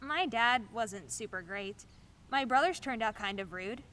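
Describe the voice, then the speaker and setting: A woman's voice: fairly high pitch, expressive, measured pace, fairly bright tone. A woman acting in a radio play as a chatty, awkward, eager-to-please young neighbor who gushes and apologizes. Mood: awkwardly candid. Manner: gushing, apologetic, hesitant.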